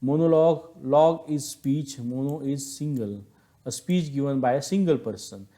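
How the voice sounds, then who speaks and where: A male teacher with an Indian accent delivers an explanatory lecture. His voice is mid-pitched with a soft, mellow tone. He speaks didactically, leaning on key words and restating each point in other words. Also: normal speed.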